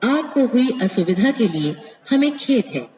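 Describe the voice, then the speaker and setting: A woman making formal, scripted railway announcements in measured, pieced-together phrases over an echoey station loudspeaker. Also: a little high, big pitch swings, a little hiss or hum. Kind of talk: scripted, pre-recorded train announcements. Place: played over public address at a railway station.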